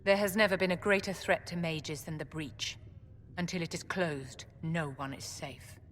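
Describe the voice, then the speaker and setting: An actress playing a haughty, elegant court mage in a video game, measured and condescending. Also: female voice, lowish voice, steady tone, close to an everyday voice. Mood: cool, commanding. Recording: reverberant hall, faint background noise.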